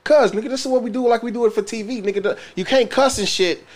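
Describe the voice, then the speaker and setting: A man's voice: extremely high pitch, fast. A man co-hosting a podcast in loose, brash, joking banter full of swearing. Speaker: podcast co-host.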